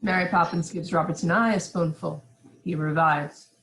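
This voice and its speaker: A woman's voice: lowish voice, steady tone, normal speed, fairly bright tone, rough recording. A woman giving scripted narration, reading out stage directions for a play. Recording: some background noise.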